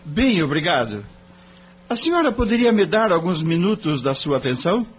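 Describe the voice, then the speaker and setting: A man's voice: on the high side, sing-song, moderate pace, husky. A man playing an earnest, courteous young lawyer in an audio drama, polite and thoughtful. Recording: dull recording with faint hum.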